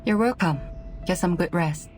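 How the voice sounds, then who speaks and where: Actress doing low voiced bureaucrat boss lady, composed n exacting, game cutscene, music behind. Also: a little mellow, natural voice. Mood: brisk, official. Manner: formal, bureaucratic, measured. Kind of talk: video game voice acting.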